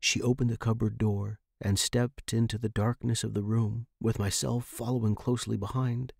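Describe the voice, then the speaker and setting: A man's voice: fairly low pitch, level tone, normal speed, gravelly, darkish tone, no room sound, studio quality. A man reading scripted horror fiction as the narrator.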